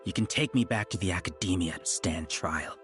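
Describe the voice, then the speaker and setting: A man playing a proud male researcher, beaten and full of resentment. His voice sits somewhat low and his words come out bitter. Soft game music lies beneath him. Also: brisk, close to an everyday voice. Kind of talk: video game voice acting.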